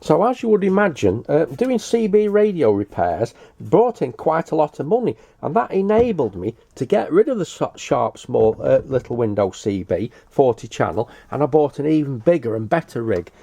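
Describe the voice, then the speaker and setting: A man's voice: medium pitch, sing-song, moderate pace, creaky, few pauses, dark tone, husky. A middle-aged British man giving an unscripted, matter-of-fact show-and-tell as a hobbyist repairer, with some hesitation. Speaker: radio repairer presenting a hobby video.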